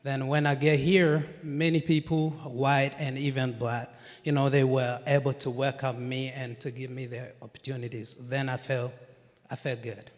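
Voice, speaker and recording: A man telling his refugee story at a citizenship ceremony in earnest, halting speech with many fillers. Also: mid-range voice, level tone. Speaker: new citizen, client advocate. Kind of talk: personal testimony. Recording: handheld mic in a reverberant hall.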